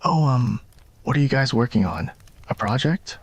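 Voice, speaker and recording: A man's voice: on the low side, fairly even intonation, normal speed, breathy edge, highs cut off. A man voicing a nervous, smitten, friendly teenage vampire boy in a storybook-style ASMR roleplay. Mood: curious, flustered.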